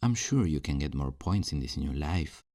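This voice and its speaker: A man playing a calm, kindly afterlife assistant in an ASMR roleplay, patiently explaining things in a low, gravelly voice. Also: normal speed, no room sound, studio quality. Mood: reassuring, confiding. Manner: patient, reassuring, explanatory customer-service talk. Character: calm, kindly male afterlife assistant selling souls new lives. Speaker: voice actor in audio roleplay. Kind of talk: ASMR roleplay, soft-paced scripted one-sided conversation.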